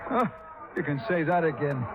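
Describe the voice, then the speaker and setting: A man's voice: on the high side, expressive, moderate pace, gravelly, over music. An actor playing a jumpy, irritable male gambler with a blustery, stammering manner in a 1950s radio drama. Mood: confiding. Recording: muffled, noisy vintage radio recording.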